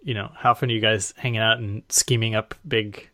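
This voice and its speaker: A man's voice: lowish voice, steady tone, a little fast, sharp tone, dry recording. A man hosting a podcast, introducing and interviewing his guest. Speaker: podcast host.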